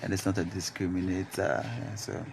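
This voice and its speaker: A man's voice: deep voice, level tone, moderate pace, creaky, a little mellow, slight background noise. A young man with a Ghanaian accent hosting a panel discussion in a radio studio. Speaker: radio program host.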